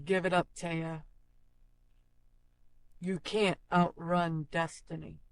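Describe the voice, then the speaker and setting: Slow, stern game character acted by a woman. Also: lowish voice, level tone, no room sound, rough recording. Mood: stern, urgent. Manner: deliberate, commanding. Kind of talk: scripted game character lines.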